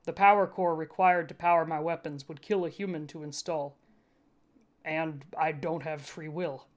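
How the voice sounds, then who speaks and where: This is a sullen part-machine security unit from an audio story, acted out by a woman. The delivery is flat and deliberate, with a lowish pitch. Statements come out clipped and literal. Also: natural voice. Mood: defensive, flat.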